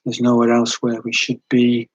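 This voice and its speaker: A man leading a guided meditation in a gentle, soothing, unhurried way, with long pauses between short phrases and a breathy edge to the voice. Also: mid-range voice, completely flat delivery, no room sound, muffled recording.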